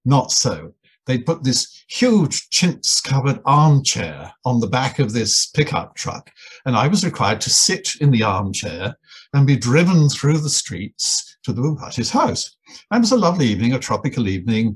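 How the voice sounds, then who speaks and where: An older Englishman with a husky, slightly breathy voice at an average pitch. He tells wry, self-deprecating anecdotes in an unhurried interview, often looping back and restarting his phrases. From England. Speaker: guest, anthropologist and writer. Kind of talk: relaxed storytelling interview.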